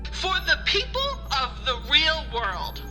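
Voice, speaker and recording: A woman voicing a synthetic, glitchy TV interviewer with a stilted, halting delivery in an audio drama. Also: high voice, big pitch swings, pushed and bright, faint music behind, heavily acted.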